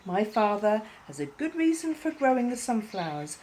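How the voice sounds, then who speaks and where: A woman voicing a loyal young male bunny in a children's storybook, earnest, reassuring and gently chiding. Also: medium pitch, sing-song, moderate pace, slight background noise, pitched above the performer's own voice, lightly acted. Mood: patient, chiding. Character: loyal, patient young bunny, son of a rabbit. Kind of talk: children's storybook character reading.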